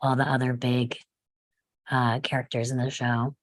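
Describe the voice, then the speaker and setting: Woman with a strikingly low voice, conversational and thoughtful, dry recording. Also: flat delivery, normal speed, no room sound. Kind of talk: casual group discussion.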